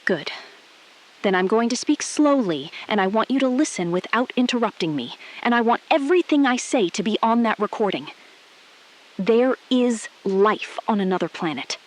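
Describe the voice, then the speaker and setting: A woman playing a calm, resolute astronaut-scientist in an audio drama, speaking in precise, measured, clipped sentences. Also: on the high side, close to an everyday voice, poor audio. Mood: firm, measured. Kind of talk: scripted audio drama acting.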